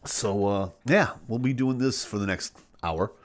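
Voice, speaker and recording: A man's voice: on the low side, unhurried. A man with a head cold hosting his live stream, chatting casually and jokingly as he reads out viewer comments. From Chicago area. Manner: jokey, playful, animated delivery with sudden loud bursts.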